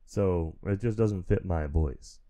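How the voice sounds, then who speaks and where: Deep-voiced man, casual, rambling. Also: slow pace, dark tone. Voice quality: naturally deep voice. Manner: rambling, frank, chuckles often. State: feeling sick, stuffy. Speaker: podcast host. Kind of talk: casual solo chat. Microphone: studio mic through audio interface.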